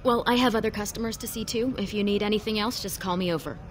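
An actress voicing a friendly, gossipy tavern waitress in a video game. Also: female voice, medium pitch, quick pace, close to an everyday voice. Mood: chatty. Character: chatty female tavern waitress.

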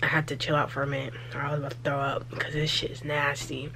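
A young woman with a low voice and gravelly fry chats to a close camera in her bedroom. She is candid and loose, trailing off and restarting between thoughts. A faint hum sits under the room. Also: flat delivery, fast, sharp tone. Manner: chatty, candid, lots of fillers and trailing thoughts. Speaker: vlogger. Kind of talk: casual vlog talk to camera. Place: small bedroom, indoors.

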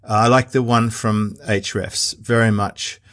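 A man's voice: lowish voice, steady tone, measured pace, fairly bright tone, no room sound. Australian man hosting, dry close sound. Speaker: podcast host, interviewer. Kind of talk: conversational interview. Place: small foam-treated recording booth.

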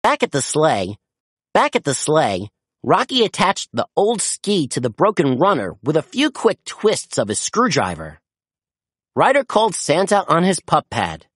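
An expressive narrator reads a children's storybook aloud. The voice is medium in pitch and swings widely for storytelling. It has a husky edge and a dry, close sound. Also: measured pace, no echo.

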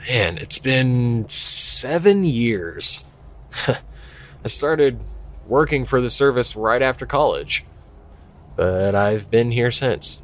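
A man's voice: mid-range voice, expressive, slow. A man acting a young, skeptical park ranger in an audio drama, speaking casually and hesitantly with a wry edge. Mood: wistful, resigned. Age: young adult. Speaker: voice actor. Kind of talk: naturalistic audio drama dialogue.

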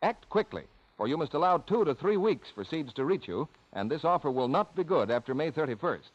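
A man's voice: fairly high pitch, very animated, fairly quick. A man announcing a 1940s radio advertisement in a formal, instructive manner. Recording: dull old radio recording.

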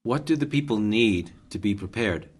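A man acting a calm sixty-year-old doctor and yogi in a radio drama, collected and reasoning. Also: fairly low pitch, moderate pace.

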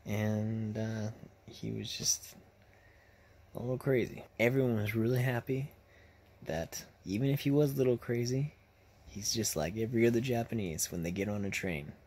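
Creaky-voiced young man telling stories. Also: lowish voice, moderate pace, darkish tone. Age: young adult. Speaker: vlogger telling personal stories. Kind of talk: casual storytelling to camera. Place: small bedroom, lying in bed. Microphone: phone at arm's length.

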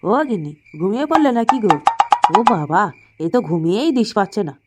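A woman's voice: mid-range voice, very animated, brisk, lightly acted. A woman voicing a grumpy, scolding old village grandfather in a comedy cartoon, with faint noise. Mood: grumbling. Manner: scolding, grumbling. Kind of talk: comic cartoon dialogue.

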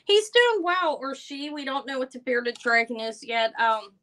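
Loud, bright-voiced woman hosting a live sale. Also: high-pitched, big pitch swings, moderate pace. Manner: chatty, rambling, loud voice. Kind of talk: casual live-stream chat greeting viewers.

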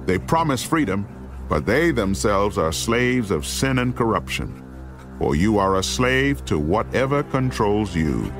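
Grave apostle warning his flock, lowish voice, oratorical, deliberate, dramatized scripture, faint music underneath. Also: very animated. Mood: stern, denouncing.

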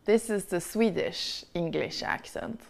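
A woman's voice: fairly low pitch, unhurried, vocal fry. A young adult woman streamer chatting playfully at home, trying out accents. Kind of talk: casual chat, mimicking accents.

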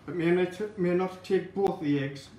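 A man's voice: high-pitched, unhurried, a little mellow, recorded from a distance, slight background noise. A man explaining a recipe as he mixes, practical and matter-of-fact. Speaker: guest baker. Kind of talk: explaining a recipe while working. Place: large home-style kitchen. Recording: echoey kitchen from off-mic.

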